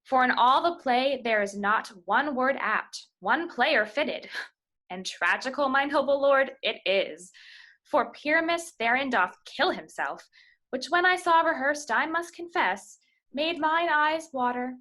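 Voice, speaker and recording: A woman's voice: high-pitched, animated, measured pace, pushed and bright, exaggerated voice. A woman playing a deferential but disdainful court master of revels, reading Shakespearean verse at an amateur table read over video call. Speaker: amateur reader in a play.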